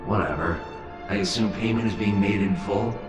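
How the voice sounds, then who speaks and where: An actor playing a surly, curt mercenary in a video game, dismissive in manner. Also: male voice, fairly low pitch, moderate pace, natural voice. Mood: irritated, dismissive. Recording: echoey with music behind.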